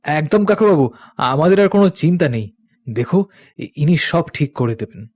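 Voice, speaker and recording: A man voicing an eager, anxious younger man in a cartoon ghost story, respectful, stammering and hurried. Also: on the high side, lively intonation, a little mellow, no echo, clean studio sound. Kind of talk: animated ghost-story character acting.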